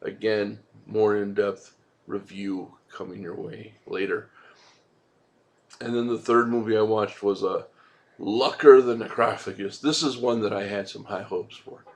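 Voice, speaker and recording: A man's voice: fairly low pitch, fairly even intonation, unhurried. A man hosting a movie review vlog, rambling casually in an informal, laid-back way with some swearing.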